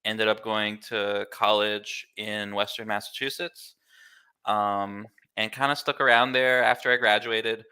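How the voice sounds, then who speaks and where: Bright, clear American man, casual and easygoing. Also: on the low side, level tone, unhurried. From Miami, United States. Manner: easygoing, informal, filler-heavy with "like" and "kinda". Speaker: interview guest, glitch artist. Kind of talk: casual explanatory chat.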